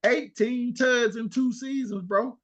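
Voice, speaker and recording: An older man co-hosting a casual sports talk show, animated and trash-talking as he rattles off stats. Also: very high voice, slow, lo-fi sound. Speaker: co-host.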